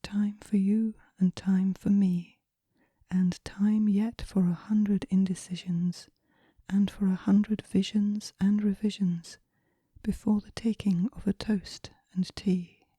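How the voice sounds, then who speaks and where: A woman reads poetry for relaxation in a soft, breathy whisper, with a gentle, measured, hushed delivery in ASMR style. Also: medium pitch, little pitch movement, dry recording. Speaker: poetry reader.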